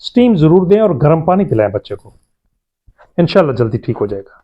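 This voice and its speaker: A man's voice: a little high, sing-song, dark tone, highs cut off. A man playing a polite family doctor in a scripted drama, giving instructive, emphatic advice. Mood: advising.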